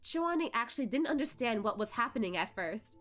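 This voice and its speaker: A woman streamer reading a web novel aloud, narrating with animated, giggly asides. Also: a little high, fairly quick. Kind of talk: read-aloud narration with live reactions.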